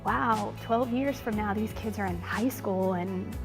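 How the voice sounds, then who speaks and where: A woman teacher with a loud voice, speaking earnestly in an interview about her students. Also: medium pitch, measured pace, over music. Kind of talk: interview testimony.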